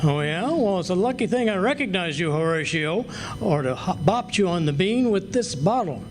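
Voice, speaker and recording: A man's voice: sits high, expressive, moderate pace. A man playing a folksy, hapless, scheming husband who blusters and wisecracks in an old-time radio comedy. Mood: blustering. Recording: noisy live stage recording.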